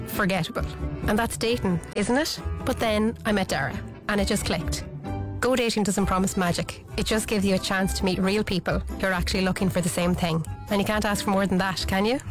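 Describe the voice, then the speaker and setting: A woman's voice: medium pitch, fairly even intonation, fairly quick, music in the background. A woman speaking in a confiding, conversational way in a dating advert. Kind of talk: testimonial-style radio ad.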